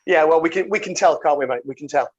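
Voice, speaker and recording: A man hosting a casual podcast over a video call, jokey and quick to laugh, calling his guest mate. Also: fairly high pitch, darkish tone, breathy edge, highs cut off. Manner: jokey, laughs often, calls people mate. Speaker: podcast host.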